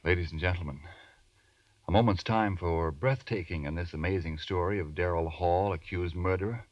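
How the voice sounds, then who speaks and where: A man's voice: deep voice, normal speed, no echo. A man announcing in a formal, emphatic 1940s radio style, with earnest scripted salesmanship. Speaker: radio announcer and sponsor pitchman. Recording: muffled, distant vintage radio recording.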